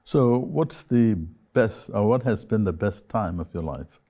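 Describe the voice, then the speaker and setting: A man hosting an interview, putting questions in deliberate, measured phrases with pauses between them. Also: fairly low pitch, lively intonation, dark tone. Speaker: television interview host.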